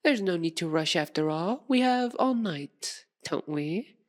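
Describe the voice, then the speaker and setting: Slow, seductive vampire noblewoman, acted by a woman. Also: lowish voice, animated. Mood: teasing, unhurried. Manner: slow, theatrical, purring, teasing formality. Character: seductive, sadistic, possessive female vampire aristocrat. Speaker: voice actor in audio roleplay. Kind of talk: ASMR roleplay, dramatic monologue to listener.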